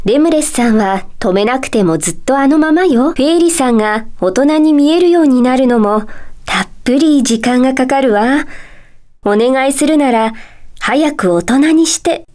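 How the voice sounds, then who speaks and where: A woman voicing a polite, gentle and mysteriously calm magic teacher in a video game, with composed, kindly phrasing. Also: sits high, normal speed. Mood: gently musing. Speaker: teacher character in a puzzle game. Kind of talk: Japanese video game character dialogue.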